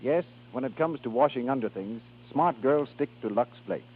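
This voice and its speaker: A male radio announcer from the 1930s reads scripted continuity and advertising. His voice is slightly husky and mid-pitched, and the old broadcast sounds thin and tinny. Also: animated, normal speed.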